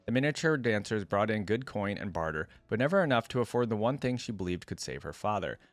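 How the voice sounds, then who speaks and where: Low-voiced male reaction host, close dry sound. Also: big pitch swings, fast, no echo. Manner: thinks aloud, reacting with interjections. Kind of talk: casual reaction commentary mixed with reading lore aloud.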